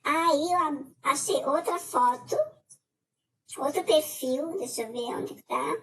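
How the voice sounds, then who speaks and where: A middle-aged Brazilian woman with a Brazilian Portuguese accent gives a personal testimony as a scam victim, talking haltingly with many filler hesitations. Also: high-pitched, a bit bright, recorded from a distance.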